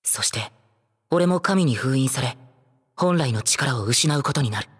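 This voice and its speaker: An actor playing a young, determined, brooding traveler from another world in a Japanese anime game, with a breathy voice. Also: male voice, medium pitch, animated, a little slow, a bit bright, exaggerated voice. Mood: solemn, resolute. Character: young male otherworldly traveler, brooding, determined, searching for sister. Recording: music under the voice.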